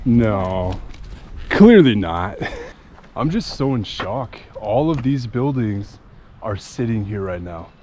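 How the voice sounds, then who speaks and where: A man's voice: on the low side, slow. A man vlogging as he explores abandoned grounds outdoors, chatting casually and joking around. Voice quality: animated, joking. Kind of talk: casual chat while walking.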